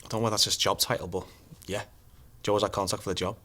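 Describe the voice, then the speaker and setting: Young scaffolder, defensive, fast talker, slightly deep voice, safety film drama. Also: male voice, little pitch movement, bright, forceful tone. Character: young male scaffolder, uneasy, defensive, follows procedure. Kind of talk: naturalistic interview scene in training film drama.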